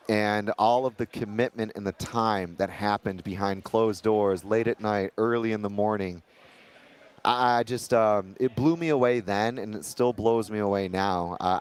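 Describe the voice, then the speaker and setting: A man's voice: fairly low pitch, clear, resonant tone. A man interviewing an old friend, asking friendly, rambling questions full of fillers. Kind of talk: conversational interview.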